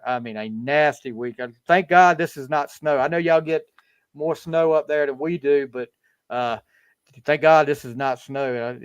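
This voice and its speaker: A man's voice: mid-range voice, clean tone, no room sound. A fifty-year-old man hosting a casual sports podcast over a video call, talking in a rambling way with lots of restarts. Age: 50s. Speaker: podcast host. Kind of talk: casual chat.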